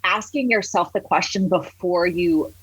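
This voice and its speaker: A woman with a fairly low, husky voice talks as a podcast guest. She is candid and emphatic, speaking conversationally and acting out quotes. The recording is close and dry. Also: measured pace, dry recording, poor audio. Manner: candid, emphatic, acts out quoted remarks, swears casually. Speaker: podcast guest, empowerment coach. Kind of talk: conversational interview talk.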